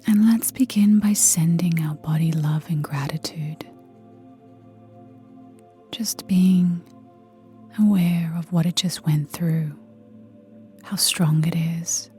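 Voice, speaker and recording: Slow, whispery low-voiced woman guiding meditation. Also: fairly even intonation. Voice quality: soft, breathy, whispery. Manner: gentle, soothing, unhurried with long pauses. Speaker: meditation guide. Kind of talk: guided meditation, soft breathy near-whisper. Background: soft background music.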